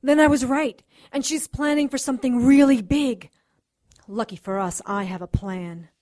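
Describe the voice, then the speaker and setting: A woman's voice: high voice, moderate pace, darkish tone, close to an everyday voice. A woman playing a resolute, vengeful occultist in an audio drama, intense and commanding in manner. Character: determined, vengeful female occultist, intense and defiant.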